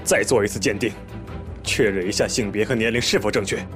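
An actor playing a skeptical police inspector in an audio drama, terse and authoritative, with a husky voice. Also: male voice, fairly low pitch, fairly quick, music in the background, lightly acted. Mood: probing.

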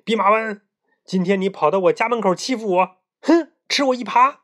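A boastful pig demon from a children's storybook, as a storyteller puts it on. The voice is loud and pushed up in pitch. It blusters and scoffs, grunting between words like an offended, sulky bully. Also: lively intonation, moderate pace, close to an everyday voice. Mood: aggrieved, defiant. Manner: hesitant grunts, blustering and scornful.

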